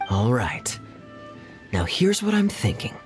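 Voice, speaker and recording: An actor playing a suave, teasing, calculating male captain in a video game, with a wry, smooth-talking manner. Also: medium pitch, huge pitch swings, takes it slowly. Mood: sly, persuasive. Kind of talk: video game character dialogue. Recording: music under the voice.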